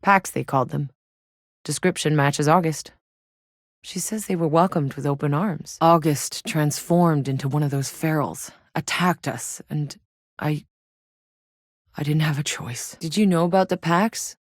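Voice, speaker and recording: A woman's voice: low-pitched, measured pace, dry recording, studio quality. A woman playing a tough, wry soldier scouting a hostile alien world in a video game, speaking terse, matter-of-fact scripted lines. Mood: uneasy, troubled.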